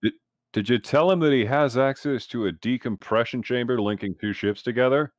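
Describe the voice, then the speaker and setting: A man's voice: mid-range voice, a little fast, no room sound. A man playing a tabletop game, explaining and arguing his points in an insistent, casual way.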